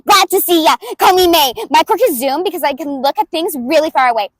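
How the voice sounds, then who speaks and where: A woman voicing a brash, excitable teenage girl inventor in an audiobook, with a loud, harsh voice pushed from the back of the throat. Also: sits high, fairly quick, dry recording, voice pushed up, natural voice. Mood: eager. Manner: exuberant, forceful, bubbly. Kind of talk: audiobook character voice.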